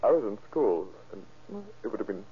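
Earnest, uneasy young stepson, old radio. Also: male voice, fairly low pitch, big pitch swings, moderate pace, husky, highs cut off, exaggerated voice. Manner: hesitant, earnest. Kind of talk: old-time radio crime drama acting. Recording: thin, scratchy old radio recording.

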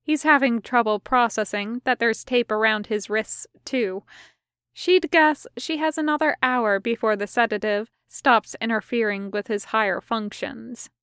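A woman reading scripted fiction as narrator, with a measured, wry storytelling manner. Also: a little high, a bit bright, clear, resonant tone, no room sound, clean studio sound. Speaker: podfic reader.

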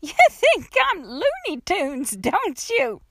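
A woman's voice: high-pitched, wildly swooping pitch, slow pace, dry recording, clean studio sound, voice pushed up, heavily acted. A woman voicing an eccentric, argumentative woman in her sixties, a rambling gravedigger with folksy, ungrammatical speech in an audiobook. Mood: defensive.

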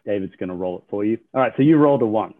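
A man's voice: lowish voice, animated, very fast. A man hosting a game-style podcast, upbeat as he explains the rules and asks his guests questions. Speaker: podcast host. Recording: tinny phone-like line.

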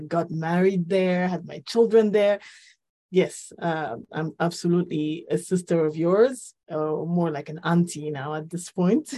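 A woman in her forties, raised in Ethiopia, who is a guest on a podcast interview. She speaks thoughtfully and pauses often on uh and um. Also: fairly low pitch, warm tone. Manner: thoughtful, with frequent uh and um fillers.

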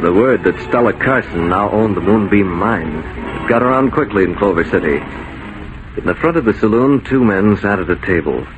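Lowish male radio narrator, vintage broadcast. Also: lively intonation, normal speed, faint music behind, low-quality recording. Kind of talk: 1940s radio drama scripted narration.

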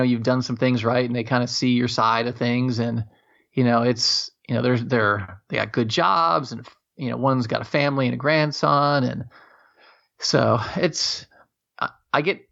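A man in his fifties chatting casually on a podcast, rambling and halting with lots of fillers. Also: mid-range voice, gravelly, fairly bright tone. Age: 50s. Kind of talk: casual chat. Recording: dull lo-fi sound.

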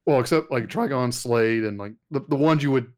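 A man co-hosting a casual, conversational review of an animated series. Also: mid-range voice, brisk. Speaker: podcast co-host.

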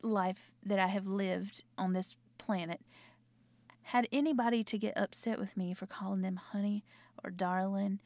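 A young woman with a North Carolina Southern accent, chatting casually and rambling, her voice slightly hoarse. She is a nurse talking into her own microphone in a small closet at home. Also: medium pitch, steady tone, normal speed, a little mellow, no echo. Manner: rambling, chatty, self-interrupting. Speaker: nurse and podcaster. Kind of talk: casual late-night chat.

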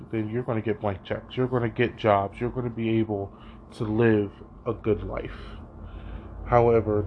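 A man's voice: fairly low pitch, muffled recording, a little hiss or hum. A young man talking through his thoughts as a student, hesitant and thinking aloud, with many "you know" fillers. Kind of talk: spoken reading reflection.

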